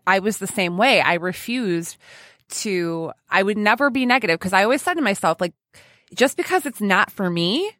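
A woman podcast host with very lively, swooping intonation and a dry, close sound. She chats casually and playfully, gushing a little as she banters with her guest. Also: medium pitch, no echo. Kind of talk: casual chatty podcast interview.

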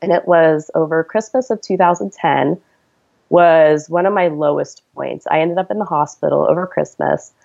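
Low, gravelly woman telling her story. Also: normal speed, darkish tone, dull audio. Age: 30s. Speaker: podcast guest. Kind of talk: conversational storytelling.

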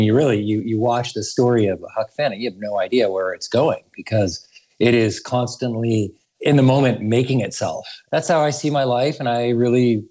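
A man's voice: fairly low pitch, a little mellow, rough recording. A man raised in Idaho, guest on a podcast, speaking earnestly and thoughtfully with frequent restarts. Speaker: school research director, guest. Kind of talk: podcast interview.

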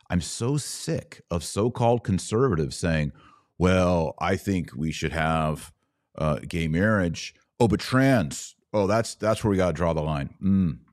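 Low-voiced American man giving sarcastic commentary. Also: darkish tone, dry recording, studio quality. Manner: emphatic, sarcastic, mimics opponents. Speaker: podcast host and commentator. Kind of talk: opinionated reaction commentary.

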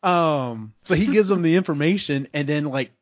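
A man in casual fan conversation as a podcast host, animated, acting out quotes and swearing freely. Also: on the high side, moderate pace, no room sound, highs cut off. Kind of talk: casual chat recapping and discussing films.

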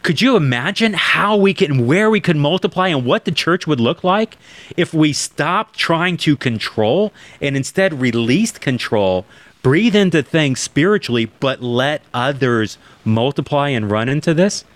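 A man's voice: fairly high pitch, animated, measured pace, no echo. A man in his forties, talking in an emphatic, preacherly way and turning to address listeners directly in a podcast conversation. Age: 40s. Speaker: church planting guest.

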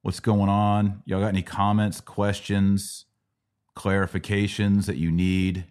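Casting: American male podcast host, middle-aged, deep register, conversational religious teacher who checks the listener follows. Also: flat delivery, a little slow, darkish tone, clean tone. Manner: didactic, checks in with "right?". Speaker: podcast host answering Bible questions. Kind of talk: casual explanatory religious teaching.

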